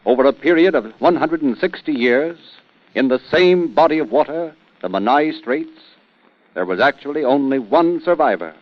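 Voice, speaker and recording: A man's voice: mid-range voice, dry recording. Grave theatrical man narrating old-time radio. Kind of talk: dramatic 1930s radio narration. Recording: dull old radio recording, music behind.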